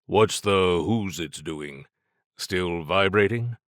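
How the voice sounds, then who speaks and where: A man's voice: low voice, slow pace, a bit bright, no room sound. A man voicing a weary, guarded male federal agent with a terse, dry way of talking in an audiobook. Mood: wary. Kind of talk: audiobook character dialogue.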